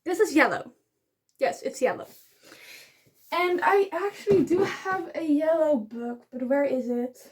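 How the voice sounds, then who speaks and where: A woman's voice: sits high, unhurried. A young woman whose first language is Dutch, casually chatting and gushing about books she loves in a rambling, giggly way. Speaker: book vlogger. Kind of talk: casual book review vlog.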